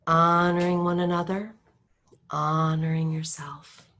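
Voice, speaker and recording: A woman leading a guided meditation in a calm, unhurried way, her voice drifting between a soft murmur and a whisper. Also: sits low.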